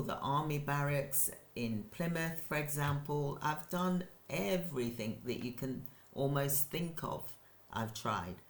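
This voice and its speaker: An older woman, hosting her own channel and giving an energetic, rambling motivational talk to camera, repeating points for emphasis. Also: extremely low pitch. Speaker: channel host, business owner. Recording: roomy echo.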